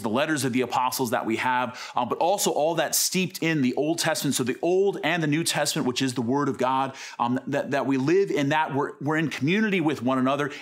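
Thirtyish priest guy teaching to camera, lively and gesturing, lots of ums and restarts, studio mic. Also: medium pitch. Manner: animated, gesturing, frequent fillers and restarts. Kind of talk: unscripted teaching talk to camera. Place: dark indoor studio with brick wall.

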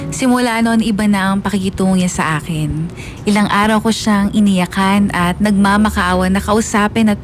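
A woman's voice: mid-range voice, lightly acted. A woman playing a wistful young college woman who tells her love story as confessional radio drama narration. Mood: regretful. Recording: music bed under the voice.

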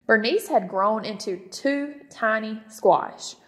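A woman narrating a children's storybook aloud, expressive and clearly phrased. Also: on the high side, takes it slowly, long reverb tail. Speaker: storybook reader.

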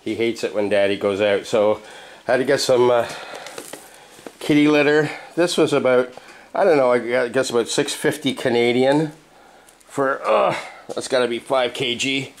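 A man's voice: medium pitch, moderate pace. Rambling man vlogging from home. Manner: relaxed, rambling, many "uh" fillers. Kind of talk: casual vlog chat. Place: apartment at home, indoors. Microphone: handheld camera mic, close.

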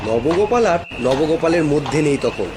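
A man's voice: a little high, lively intonation, moderate pace, noisy background. A man reading scripted narration as the storyteller of a horror cartoon tale. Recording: music bed behind voice.

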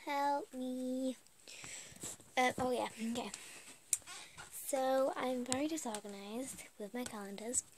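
A woman's voice: sits high, darkish tone, a little hiss or hum. A teenage girl vlogging from her bedroom, losing her voice, chatting in a rambling, hesitant way. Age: teens. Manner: chatty, rambling, slow and hesitant with many ums. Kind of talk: casual vlog chat. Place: small bedroom at home. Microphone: webcam or phone at arm's length.

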